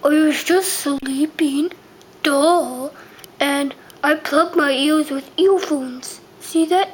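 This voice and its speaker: A child doing a dopey cartoon starfish, very high childlike voice, good-natured, halting, faint noise on home camera. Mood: dopey, agreeable. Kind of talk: improvised toy-figure play acting.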